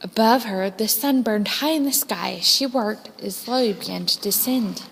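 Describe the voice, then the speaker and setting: A woman reading a children's story as scripted narration, with expressive, deliberate phrasing. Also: mid-range voice, vocal fry, slight background noise. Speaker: storybook reader. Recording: long hall-like reverb.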